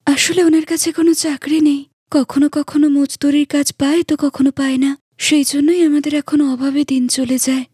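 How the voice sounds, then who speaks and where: A woman's voice: high-pitched, little pitch movement, normal speed, mellow, no room sound, clean studio sound, pitched above the performer's own voice, natural voice. A woman voicing an eager, deferential young village wife in a cartoon story, polite and earnest. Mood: apologetic explaining. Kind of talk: cartoon moral story acting.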